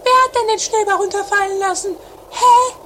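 Shrill bossy comedy sister. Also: female voice, moderate pace, a bit bright. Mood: accusing. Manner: dramatic, taunting, theatrical. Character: Mathilde, bossy, quarrelsome, cackling sister in trash comedy. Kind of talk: amateur comedy radio play. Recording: noisy 1980s homemade radio play recording.

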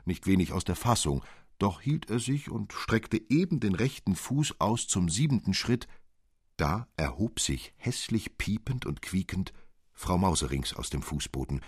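A man narrating a literary fairy tale as a measured, expressive storyteller. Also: low voice, breathy edge, dry recording, studio quality.

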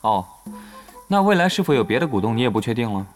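男演员饰演语调起伏很大、爱较真的年轻男玩家. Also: male voice, mid-range voice, big pitch swings, fast talker, soft background music, lightly acted. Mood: skeptical, sarcastic. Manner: wordy, questioning, sardonic. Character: cautious, nitpicking young male gamer, cheeky and sarcastic. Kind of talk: Mandarin audio drama acting.

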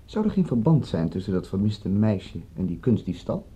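An actor in a radio drama playing a shady, probing gentleman who is formal, courteous and insinuating. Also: lowish voice, big pitch swings, moderate pace, slightly rough, exaggerated voice. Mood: inquisitive, insinuating. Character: smooth-mannered, probing, shady gentleman with information to sell. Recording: muffled, dull old radio recording.

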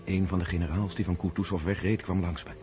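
Actor as a low-voiced, awestruck count remembering battle. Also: male voice, flat delivery, fast, mellow, close to an everyday voice. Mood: awed, reflective. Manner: lyrical, descriptive. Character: Pierre, awestruck count recounting a battle he witnessed. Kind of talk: radio drama first-person narration. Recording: music behind the voice.

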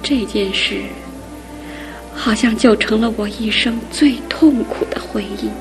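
A woman as a gentle, grieving middle-aged aunt. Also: fairly high pitch, unhurried, over music, close to an everyday voice. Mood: sorrowful. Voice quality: soft, often whispering. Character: aging aunt, gentle, grieving an old love. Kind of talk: radio drama acting.

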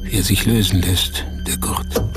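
A roguish, enraptured storyteller in a radio drama. He lingers on short emphatic phrases at a slow pace, with hugely varied pitch. Music plays clearly behind him. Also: huge pitch swings. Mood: conspiratorial, marvelling. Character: Augusto, earthy, captivated male storyteller with roguish appetites. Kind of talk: radio play narration in present tense.

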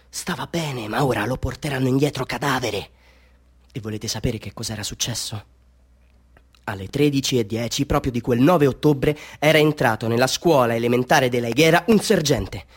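An actor playing a chatty small-town Bolivian street photographer who hustles tourists with stories, in a radio theatre monologue, theatrical and conspiratorial. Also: male voice, mid-range voice, close to an everyday voice. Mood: confiding, then dramatic. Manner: conspiratorial, salesman-like, theatrical, halting asides to listeners.

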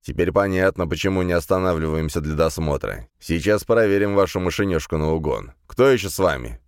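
A man's voice: low voice, expressive, fairly quick, clean tone, no room sound, studio quality. A man playing a self-satisfied senior police interrogator with a drawling, wry authority, as in an audiobook. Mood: self-satisfied, probing.

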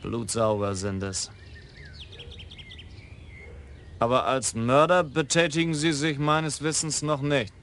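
An actor playing a calm, tall Frisian police lieutenant in a radio crime drama, slow and plainspoken. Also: male voice, mid-range voice, a little hiss or hum, lightly acted. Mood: dry.

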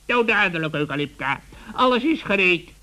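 A man playing a sly, polite forest animal in a children's radio play, with measured, mock-humble courtesy. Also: sits high, animated, bright, forceful tone, low-quality recording. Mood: courteous.

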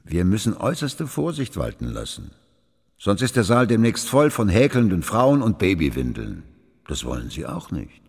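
An actor playing a stern, patronising royal councillor in a radio play, speaking in a formal, measured, admonishing way. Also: male voice, on the low side, wildly swooping pitch, creaky, a little mellow, breathy edge, full character voice. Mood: stern, cautious. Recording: echoing hall with long reverb.

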